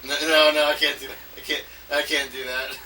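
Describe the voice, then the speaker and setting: A man with a strikingly sharp, bright voice rambles through an unscripted audio log. He stops, restarts and repeats his phrases as he thinks aloud. The amateur recording is slightly noisy, and he sounds set back from the microphone. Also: mid-range voice, little pitch movement, recorded from a distance. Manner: halting, repeats himself, trails off mid-phrase.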